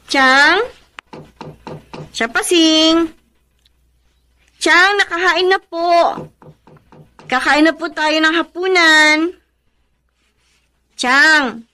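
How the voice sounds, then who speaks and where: An actress with a Filipino accent playing an exasperated but dutiful young wife in a radio drama. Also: female voice, extremely high pitch, extremely slow, pauses often, sharp tone, heavily acted. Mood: coaxing, exasperated.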